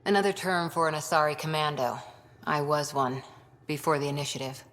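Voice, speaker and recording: A woman's voice: sits low, fairly even intonation, brisk, fairly bright tone. An actress playing a tough, blunt ex-commando woman in a video game, with a wry manner. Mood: guarded, reflective. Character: tough, blunt female human ex-commando soldier. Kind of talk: video game cutscene acting. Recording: long hall reverb.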